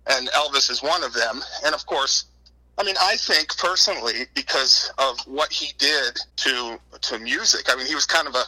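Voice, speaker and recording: Male interview guest, middle-aged, very bright forward tone, conversational and wordy storyteller. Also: medium pitch, normal speed, poor audio. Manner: wordy, informal, repeats words and says "you know" often. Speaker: interview guest, book co-author. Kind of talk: conversational interview answers, telling anecdotes.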